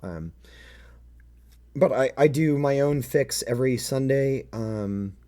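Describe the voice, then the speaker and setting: A man in his late thirties chatting casually and haltingly with livestream viewers, in a self-deprecating way. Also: mellow. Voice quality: deep. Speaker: streamer reading own fan fiction.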